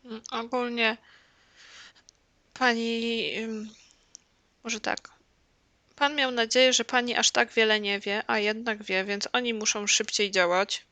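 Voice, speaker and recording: A woman's voice: on the high side, flat delivery, measured pace, pauses often, bright, forceful tone, no echo. A woman with a Polish accent giving casual, hesitant game commentary, thinking aloud as she plays. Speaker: gameplay streamer translating the dialogue.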